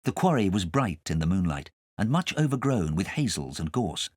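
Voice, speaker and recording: A man narrating a storybook as a scripted audiobook, with dramatic, expressive storytelling. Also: sits low, moderate pace, no room sound, studio quality.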